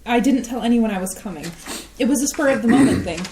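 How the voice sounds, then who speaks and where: A young artist daughter, played by an actress, calm and measured with a touch of hesitance. Her voice sits slightly high and rings in an echoey stage space. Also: creaky, a little hiss or hum. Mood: earnest. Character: young adult daughter, an artist, earnest and guarded. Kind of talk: staged play reading.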